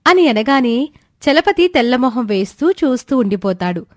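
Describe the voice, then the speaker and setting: A woman's voice: on the high side, sing-song, moderate pace, darkish tone, dry recording. Woman narrating a story, close dry sound. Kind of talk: scripted narration of a moral story.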